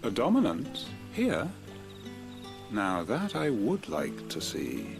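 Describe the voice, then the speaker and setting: Dignified male tavern owner, low voice, slow delivery, formal, knowing, fantasy game cutscene. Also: wildly swooping pitch, vocal fry, music in the background, heavily acted. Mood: wry. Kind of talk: video game cutscene acting.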